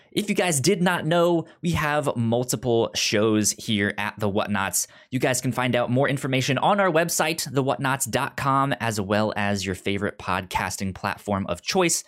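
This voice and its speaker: A man's voice: mid-range voice, a bit bright. A man hosting a podcast in a casual, chatty way, often stumbling over and repeating his words. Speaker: podcast host.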